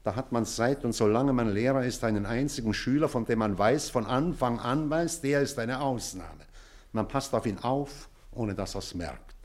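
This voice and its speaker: An actor playing an elderly retired schoolmaster in a drama, pointed and deliberate, stern but tender toward a former pupil. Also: male voice, medium pitch, natural voice. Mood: earnest, moved. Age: older. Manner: deliberate, pointed, rhetorical repetition. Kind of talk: theatrical radio play dialogue.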